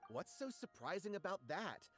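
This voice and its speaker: An actor playing a melodramatic, stubbornly hopeful struggling writer in a video game, insistent and dramatic. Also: male voice, high voice, big pitch swings, normal speed, a bit bright, soft background music. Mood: confident.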